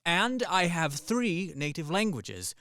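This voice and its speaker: A man's voice: on the high side, very animated, takes it slowly, a bit bright, dry recording, studio quality, exaggerated voice. A man playing a practical Swiss army captain in his thirties in a radio comedy, matter-of-fact and emphatic. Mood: matter-of-fact boasting. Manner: matter-of-fact, emphatic, reeling off lists and figures. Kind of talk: stage-comedy radio play acting.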